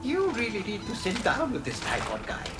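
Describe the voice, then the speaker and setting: An actress voicing an eccentric, scatterbrained automaton artist in a video game, with a breezy, theatrical, flighty manner. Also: female voice, medium pitch, huge pitch swings, normal speed. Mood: airy, musing. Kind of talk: video game cutscene acting.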